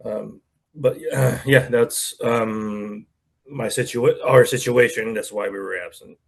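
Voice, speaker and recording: A man co-hosting a casual chat podcast over a video call, his throat clogged and his speech halting with fillers. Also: lowish voice, steady tone, gravelly. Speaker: podcast co-host.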